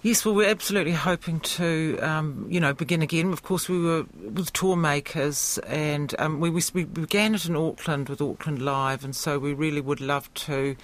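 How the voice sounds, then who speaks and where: A New man with a fairly high-pitched voice for a man. He is a theatre maker answering as a guest in a conversational interview. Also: moderate pace, hardly any pauses, fairly bright tone.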